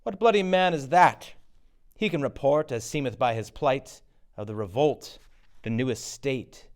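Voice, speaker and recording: A man's voice: medium pitch, measured pace, lightly acted. A man playing a noble, commanding king in a stage tragedy, speaking in a regal, emphatic manner. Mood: urgent.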